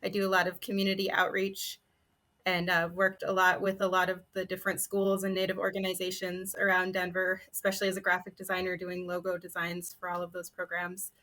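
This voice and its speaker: Bright, chatty woman from New Mexico. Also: lowish voice, monotone, a little fast. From Taos, New Mexico, United States. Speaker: panelist, teaching artist. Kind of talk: casual self-introduction on a panel.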